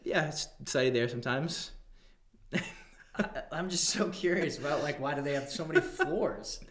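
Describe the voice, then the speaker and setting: A man hosting a podcast, asking quick, curious questions and joking in casual chat. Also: a little high, lively intonation, fairly bright tone, roomy sound. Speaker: podcast host.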